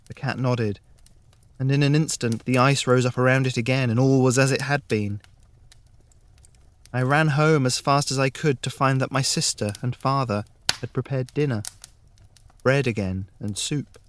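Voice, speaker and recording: A man narrating a scripted fairy tale in the first person, measured and with dramatic pauses. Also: medium pitch, steady tone. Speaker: story narrator.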